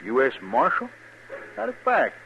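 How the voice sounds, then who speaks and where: A man's voice: mid-range voice, huge pitch swings, a little slow, vocal fry, a crowd in the background, exaggerated voice. A man with an American accent playing a plain-spoken army storekeeper in a radio Western, folksy and businesslike. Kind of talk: 1950s radio Western acting. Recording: muffled, hissy old radio recording.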